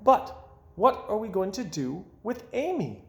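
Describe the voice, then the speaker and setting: A man's voice: high-pitched, sing-song, measured pace, gravelly, fairly bright tone, pitched above the performer's own voice, full character voice. A man voicing an exasperated, fretting mother from a children's picture book. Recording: roomy, echoing sound.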